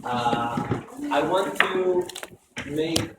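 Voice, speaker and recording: A hoarse male lecturer teaching a class, repeating key points and often checking in with "okay?". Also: fairly high pitch, lively intonation, drawn-out words. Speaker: university lecturer. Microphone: close microphone. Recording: echoey classroom from far off, noisy.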